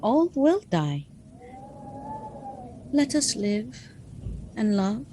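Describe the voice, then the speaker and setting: A woman's voice: fairly high pitch, very animated, extremely slow, noisy background, full character voice. A woman playing a passionate, defiant and seductive vampire in a radio drama, with an intense theatrical delivery. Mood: fervent, dark.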